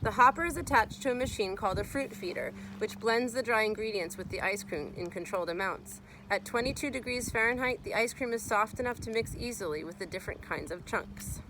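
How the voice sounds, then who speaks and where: A woman's voice: fairly high pitch, fairly quick, few pauses, noisy background. A woman reading a children's nonfiction book aloud as a teacher would, explaining and speaking to young listeners.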